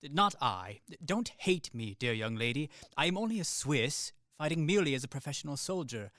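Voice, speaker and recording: An actor playing a weary Swiss mercenary soldier in a radio play, speaking in a dry, ironic and polite way. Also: male voice, on the high side, sing-song, moderate pace, a bit bright, dry recording. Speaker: Captain Bluntschli. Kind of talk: college radio play acting.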